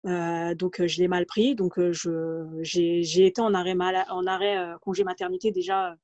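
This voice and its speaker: A woman's voice: lowish voice, little pitch movement, moderate pace, a little mellow. A woman in her thirties from the Paris region, telling her career story as an interview guest and speaking hesitantly, with many fillers and restarts. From France, Paris region. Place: home room, video call. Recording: dull, lo-fi video call audio.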